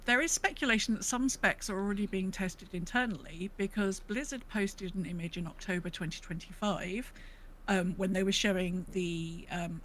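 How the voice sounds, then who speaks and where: A woman's voice: mid-range voice, fairly bright tone. A woman co-hosting a podcast in a casual gaming discussion, chatty and tentative as she restates her points. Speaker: podcast co-host. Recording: faint background noise.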